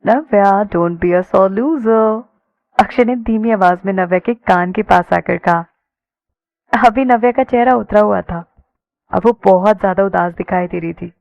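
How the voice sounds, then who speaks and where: Female narrator for romance audio fiction, conversational delivery, medium pitch, slightly dull lo-fi recording. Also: moderate pace, gravelly, darkish tone. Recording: dull lo-fi sound.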